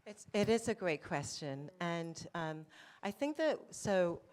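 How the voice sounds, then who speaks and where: Woman panelist, slightly low pitch, conversational storyteller. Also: very animated, slow, a little mellow. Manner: anecdotal, conversational. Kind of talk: panel discussion. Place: lounge at a festival panel event.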